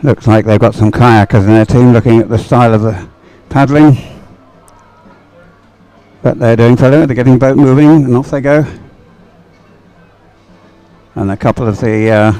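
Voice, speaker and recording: Man, live race commentary, halting, thinking aloud, music in background. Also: medium pitch, warm tone, no room sound, low-quality recording. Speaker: sports commentator.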